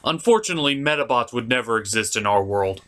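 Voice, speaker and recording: Male review host: punchy, sharp-edged voice, very varied pitch, emphatic and opinionated, informal delivery. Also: expressive, fast talker. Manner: emphatic, opinionated, casual and blunt. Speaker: host of a cartoon review show. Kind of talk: enthusiastic scripted video review with casual asides.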